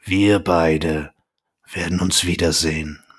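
A man putting on a slow, menacing vampire lord. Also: fairly even intonation, slightly rough, full character voice. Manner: slow, low, deliberate menace. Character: menacing male vampire lord, cold and threatening. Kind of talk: acted quote from an audio drama.

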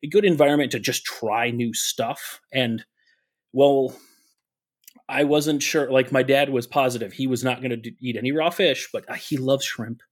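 Halting man sharing a personal story. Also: mid-range voice, fairly even intonation. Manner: halting, many restarts and fillers. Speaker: podcast co-host. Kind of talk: casual chat.

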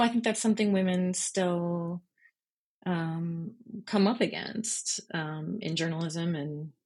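A woman with a slightly husky voice, speaking thoughtfully and informally as an interview guest. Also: fairly low pitch. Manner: thoughtful, informal, explains step by step. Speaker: podcast guest, freelance journalist. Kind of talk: reflective interview conversation.

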